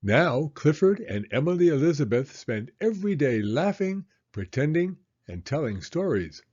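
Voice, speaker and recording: Male storybook reader for children: expressive, animated delivery, soft mellow tone. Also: a little high, moderate pace, husky, highs cut off. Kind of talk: children's read-aloud narration.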